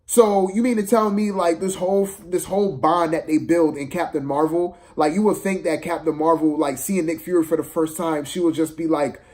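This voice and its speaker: A man's voice: a little high, steady tone, moderate pace, hardly any pauses, darkish tone. A man giving a casual, opinionated movie review, exasperated and slangy, repeating phrases for emphasis.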